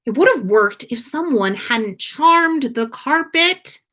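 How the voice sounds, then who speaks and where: A woman's voice: sits high, animated, slow pace, bright, forceful tone, voice pushed up, heavily acted. A woman voicing an annoyed, defensive young man in a story reading, with an indignant, emphatic delivery.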